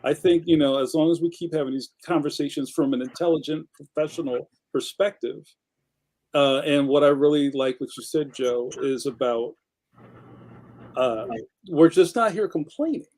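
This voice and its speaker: A man's voice: on the high side, normal speed, darkish tone, rough recording. A man co-hosting a casual livecast over a video call, rambling a little and checking for agreement. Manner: casual and rambling, many fillers and restarts, asks for agreement. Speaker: co-host cartoonist.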